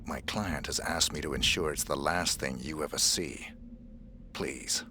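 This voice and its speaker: An extremely low, crisp-edged male voice as a cold game assassin. He is terse, formal and emotionless, over faint noise. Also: a little slow, bright, forceful tone, full character voice. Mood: cold, polite. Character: cold, calm male professional hitman. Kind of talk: video game cutscene acting.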